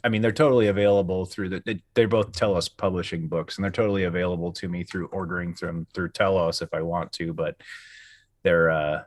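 A man with a low voice hosts a casual podcast chat. He feels his way through sentences in a hesitant, rambling manner. The sound is dry, with very little room to it. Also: little pitch movement, fairly quick, no room sound. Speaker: podcast host.

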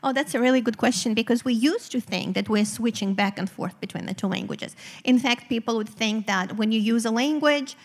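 A woman's voice: fairly high pitch, brisk, few pauses, in a large hall. A woman from Eastern Europe whose first language is Romanian, explaining research articulately as a guest in a live stage interview. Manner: articulate, explanatory, occasional fillers. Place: theater stage before a live audience.

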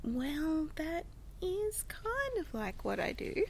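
A woman's voice: high voice, very animated, takes it slowly, creaky, full character voice. A woman playing a prim, earnest hostess in an audio drama, with a proper, gushing, genteel manner. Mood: gushing, reverent. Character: prim, earnest female euthanasia-service hostess.

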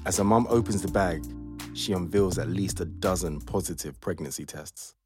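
A man's voice: sits low, normal speed, over music, natural voice. A man reading scripted narration as the storyteller of an audio play. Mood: measured.

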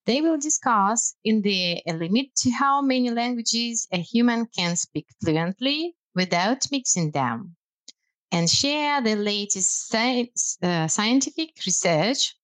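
This is a woman whose first language is Russian. She co-hosts a podcast as a language lecturer and talks slowly, with a lively rise and fall in her pitch. Her voice is recorded close and dry, and she explains her tips in a measured way with a few hesitant fillers. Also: no room sound. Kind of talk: podcast conversation about language learning.

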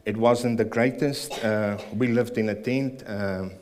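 A man with a South African accent speaks at a church service, his voice sitting on the low side. He is earnest and a touch nervous, pausing with fillers as he shares his testimony and prays. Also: steady tone, a little mellow, a little hiss or hum. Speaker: church member giving testimony. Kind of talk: personal testimony and prayer in church.

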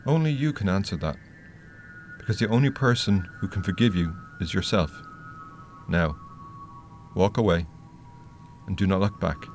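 An actor playing a stern, solemn spirit who guides the dead in a radio play, grave and deliberate. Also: male voice, low voice, faint music behind. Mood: firm, solemn.

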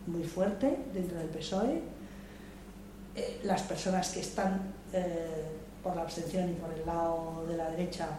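An older woman from Madrid speaking at a public debate, reflective and hesitant, with many restarts and repeated words. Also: lowish voice. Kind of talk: spontaneous political talk at a public debate. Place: large hall, public debate session. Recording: echoey room, voice from far off, faint noise.

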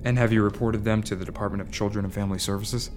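A man as a brisk, skeptical detective. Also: on the low side, steady tone, over music, lightly acted. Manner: brisk, dry, blunt. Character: blunt, skeptical male police detective. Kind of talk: audio drama acting.

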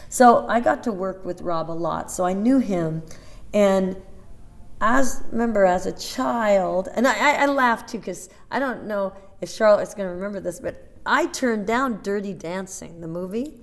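A woman in her fifties, a dancer, chatting in an interview and telling animated stories with many restarts and asides. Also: mid-range voice, moderate pace. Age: 50s. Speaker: interview guest, Broadway dancer. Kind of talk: casual interview chat.

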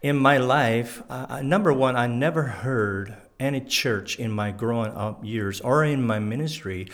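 Guest: middle-aged man, French Canadian-sounding accent, halting aphasic delivery, candid testimony with frequent pauses and fillers. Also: mid-range voice. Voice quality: halting aphasic speech after a stroke. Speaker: guest, former pastor. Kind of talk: personal testimony in an interview.

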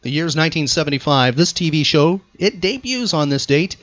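A man's voice: on the high side, expressive, a little fast, fairly bright tone, no echo, lo-fi sound. A man hosting a chatty trivia podcast in a playful, teasing manner. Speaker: podcast host.

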